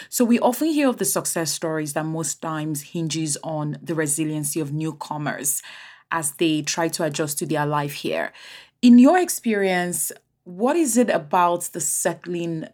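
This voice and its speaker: A woman's voice: low-pitched, darkish tone. A woman interviewing a guest, setting up her questions with long framing remarks. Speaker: interviewer, host.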